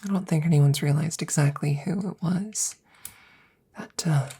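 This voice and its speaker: A woman playing a worried, devoted, nerdy scientist best friend in an ASMR audio roleplay, speaking softly and breathily up close, often whispering, in a hesitant, rambling, reassuring way. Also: low voice, a little slow, slightly rough, full character voice. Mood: nervous, sheepish. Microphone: close microphone.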